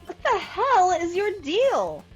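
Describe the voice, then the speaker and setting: A woman acts out a bewildered young woman dragged into a love feud. Her very high voice swoops widely as she protests, incredulous and exasperated, over faint music. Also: wildly swooping pitch, slow pace, exaggerated voice, rough recording.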